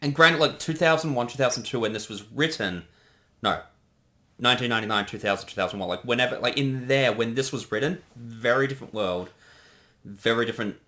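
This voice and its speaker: A man's voice: mid-range voice, brisk, sharp tone. A man giving casual, rambling creator commentary, often interrupting himself. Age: 30s. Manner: hesitant, rambling, self-interrupting.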